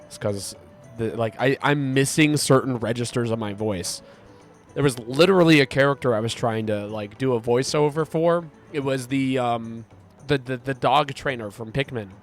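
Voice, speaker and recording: A male game streamer with a sick voice, gravelly and deep from congestion. He rambles casually, reading out and answering viewer messages. Faint game music plays underneath his mid-pitched voice. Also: moderate pace. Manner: rambling, reads chat aloud and answers it. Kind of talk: casual live-stream chat while gaming.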